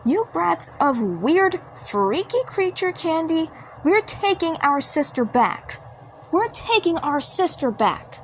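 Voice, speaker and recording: A woman as a high-voiced, fierce cartoon superhero girl. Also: very animated, slow pace, slight background noise, heavily acted, rough recording. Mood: defiant anger. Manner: stammering, repetitive, confrontational.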